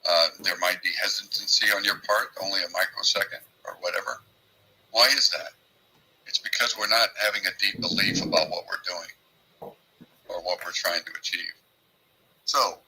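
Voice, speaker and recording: A man's voice: low voice, bright, forceful tone, a little hiss or hum, poor audio. A man joining a livestream as a guest, giving earnest motivational commentary and telling stories with many ums and uhs. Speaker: guest participant.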